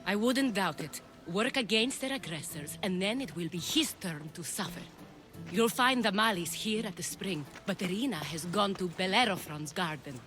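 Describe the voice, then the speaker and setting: An actress playing a self-assured, shrewd leader of ancient Greek courtesans in a video game, speaking in a measured, formal way. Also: female voice, mid-range voice, lively intonation, a bit bright, lightly acted. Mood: determined. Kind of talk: video game cutscene acting. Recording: music behind the dialogue.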